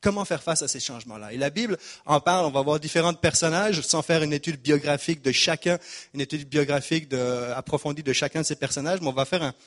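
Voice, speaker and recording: A man's voice: a little high, fast, a bit bright. A man in his forties from Geneva, with a mixed Swiss French and Québécois accent, preaching a sermon in a chatty, self-deprecating way, hesitating often. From Geneva, Switzerland. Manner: chatty and self-deprecating, frequent "euh" and word repeats. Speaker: pastor.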